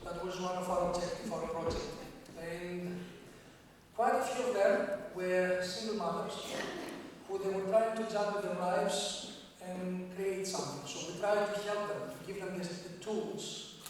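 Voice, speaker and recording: Greek-accented man asking the panel a question, hesitant, high-pitched, picked up from far off. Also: moderate pace, a little hiss or hum. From Greece. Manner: hesitant, with fillers. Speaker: audience member asking a question. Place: large conference hall. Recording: echoey hall from far off.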